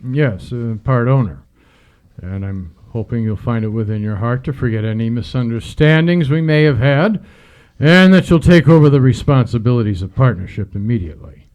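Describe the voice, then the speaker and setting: A man playing a stiff, chastened old businessman, speaking formally and haltingly in an old-time radio drama. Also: mid-range voice, lively intonation, highs cut off, close to an everyday voice. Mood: awkward, contrite.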